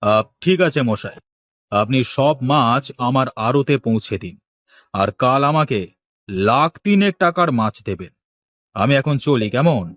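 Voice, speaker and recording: A man playing a smooth, evasive fish trader from the city in a cartoon, politely businesslike and reassuring. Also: mid-range voice, expressive, unhurried, fairly bright tone. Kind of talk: cartoon dialogue acting.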